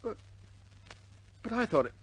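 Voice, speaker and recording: A man's voice: measured pace, background noise, natural voice. An actor playing a shaken airman in a war radio drama, speaking hesitantly. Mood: shaken, quiet. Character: shaken male airman absorbing bad news about a comrade.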